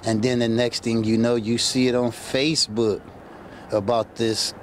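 A man speaking haltingly in a street interview outside a house, into a handheld news mic. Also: medium pitch, little pitch movement, a little hiss or hum. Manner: halting, emotional. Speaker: great uncle of the girl. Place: outdoors by a house.

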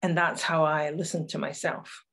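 An older woman with a soft Northeast Scottish lilt talks to a webcam from a room at home. Her voice sits fairly low, and she speaks in a reflective storytelling way, with unhurried pauses. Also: level tone, breathy edge. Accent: Northeast Scottish, Doric. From northeast Scotland. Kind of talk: personal talk to camera. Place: home room with curtains, indoors.

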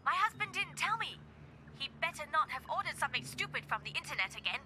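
Annoyed housewife, high voice, suspicious, noisy background, video game. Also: female voice, big pitch swings, fast. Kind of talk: video game cutscene acting.

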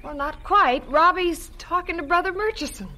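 A woman's voice: very high-pitched, normal speed. A woman playing a kind, devout, cheerful farm mother in a radio drama. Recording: loud ambient noise behind.

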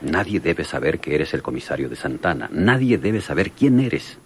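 An actor playing a brisk, authoritative police lieutenant in an old radio drama, with a Mexican accent. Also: male voice, rumbling low, slight background noise. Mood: confiding, instructing. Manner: authoritative, expressive.

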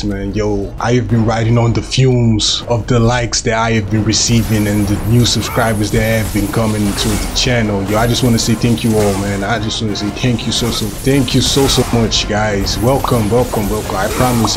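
A young man with a cold, hosting a gaming channel and talking over his gameplay in a chatty, joking way, with a hoarse voice. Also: fairly low pitch, fairly even intonation, fairly quick, few pauses, music in the background. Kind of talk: casual gameplay commentary.

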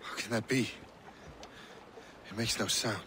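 An actor playing a cool, sardonic young assassin in a video game. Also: male voice, on the low side, fairly even intonation, unhurried, close to an everyday voice. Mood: mocking, cool. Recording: noisy game audio with ambience.

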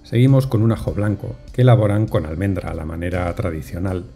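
Mellow-voiced male voice-over narrator. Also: deep voice, steady tone. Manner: measured, unhurried, descriptive. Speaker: food vlogger narrator. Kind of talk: calm scripted voice-over narration. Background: soft music.

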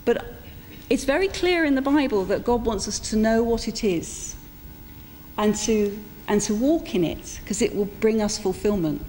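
A woman's voice: a little high, darkish tone. Woman preaching in an echoing church. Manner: informal, reflective, emphatic pauses. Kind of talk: sermon, storytelling from notes in a conversational way. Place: church interior, speaking to a congregation. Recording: echoey church hall with background noise.

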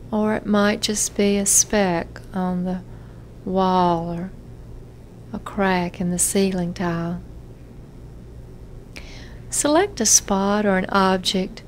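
A woman therapist leading a guided self-hypnosis relaxation session, giving gentle, measured instructions with frequent pauses. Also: medium pitch, slight background noise.

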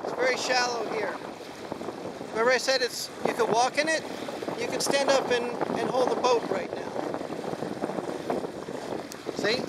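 A man's voice: extremely high pitch, fairly even intonation, unhurried, pauses often. A middle-aged man sailing with his son on a small boat, cheerfully narrating and explaining as he goes. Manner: upbeat, explaining to his child. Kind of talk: casual narration while sailing. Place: outdoors on a small sailboat on a bay. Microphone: action camera on the boat. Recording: loud wind and water noise.

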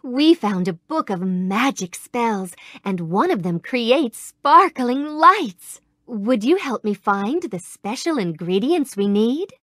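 A gentle, gracious young princess from a game, with a soft, sweet and refined tone. Her voice sits a little high and moves through a lively, sing-song melody. The close studio recording is dry, with no room around her. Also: slow, hardly any pauses, no room sound, exaggerated voice. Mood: requesting. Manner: polite, gracious, warmly grateful. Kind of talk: game voice clips. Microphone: close studio mic.

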